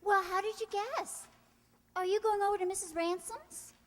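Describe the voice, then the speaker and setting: A woman's voice: extremely high pitch, fairly even intonation, normal speed, in a large hall, a little hiss or hum. A woman playing a sensible but impatient young niece, coaxing her family in old-time radio comedy style. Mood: coaxing, brisk.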